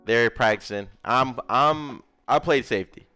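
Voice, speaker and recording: A man's voice: mid-range voice, measured pace, pushed and bright, clear, resonant tone, faint music behind. A young man from Memphis with a Southern accent, casually telling his life story while drawing, rambling and adding little sound effects. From Memphis, Tennessee. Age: young adult. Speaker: gaming content creator.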